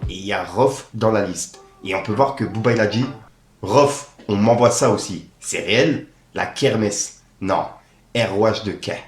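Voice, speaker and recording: Chatty low-voiced young man, echoey room. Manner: chatty, many fillers like "en gros" and "tu vois". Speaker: host of a rap news channel. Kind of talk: casual news roundup.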